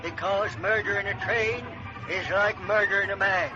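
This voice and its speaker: An actor playing a ghostly, vengeful old railroad man in old-time radio horror, drawn-out and ominous. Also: sits high, steady tone, lightly acted. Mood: ominous taunting. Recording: dull vintage radio, music behind.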